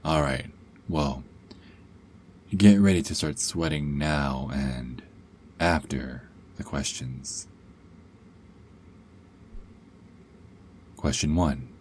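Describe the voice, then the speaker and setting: Guy doing a smug sarcastic werewolf for asmr roleplay, super deep voice, slow taunting drawl. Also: a little mellow, a little hiss or hum. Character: sarcastic, cocky captive male werewolf, young adult.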